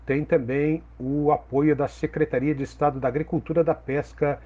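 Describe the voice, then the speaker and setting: A man with a Brazilian Portuguese accent, presenting a farm radio program in a steady, measured scripted read. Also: a little high. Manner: steady, measured reading of announcements. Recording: dull lo-fi radio sound with faint music.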